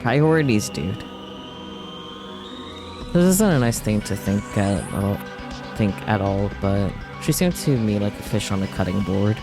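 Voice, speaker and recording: Male game streamer, low voice, narrates story text and drops wry casual comments over prominent music. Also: expressive, a little slow, long pauses. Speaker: streamer playing a visual novel. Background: video game music.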